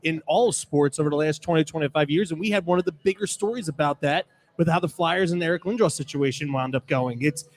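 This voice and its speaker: Male sports talk panelist, slightly high pitch, explanatory delivery. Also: fairly quick, hardly any pauses.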